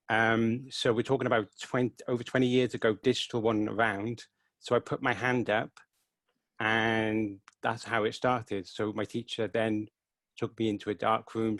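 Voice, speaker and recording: A man's voice: fairly low pitch, vocal fry, fairly bright tone, dry recording. A man from Wales in his thirties, answering questions about his photography in an earnest, enthusiastic way over a video call. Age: 30s. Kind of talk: casual interview answers. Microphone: headset.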